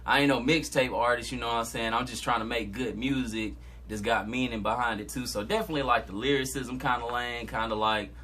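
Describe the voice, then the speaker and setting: A man's voice: mid-range voice, fairly even intonation, fairly quick, bright, forceful tone. A young man from Georgia, a rapper talking casually and laid-back in a podcast interview. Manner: laid-back, talks in slang. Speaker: guest rapper.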